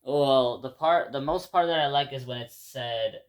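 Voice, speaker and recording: A man's voice: medium pitch, unhurried. A man from Ecuador, a native Spanish speaker, gives a casual spoken reflection to his viewers. He rambles, restarts and repeats words, and keeps addressing them as "guys". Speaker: vlogger.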